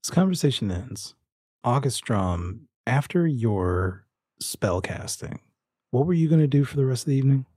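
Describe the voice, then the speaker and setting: A man's voice: mid-range voice, very animated, normal speed, dark tone, husky, no echo, studio quality. A man narrating a tabletop roleplaying game as game master, describing scenes and addressing players.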